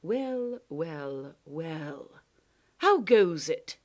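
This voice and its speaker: A woman's voice: low-pitched, sing-song, very slow, a little mellow, exaggerated voice. A woman voicing a scheming male creature who speaks casually and with mock politeness. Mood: smug. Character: smooth, scheming male creature, cheerfully menacing.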